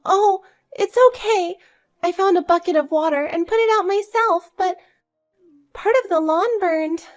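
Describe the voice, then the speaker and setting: A woman's voice: very high voice, measured pace, soft background music, voice pushed up. A woman voicing a frightened young granddaughter, plaintive and pleading, in an acted family story. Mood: anxious, explaining.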